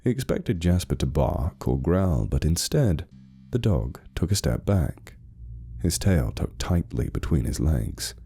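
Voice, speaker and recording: Male narrator, extremely low pitch, expressive intonation, scripted horror tale, suspenseful and measured. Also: slightly rough.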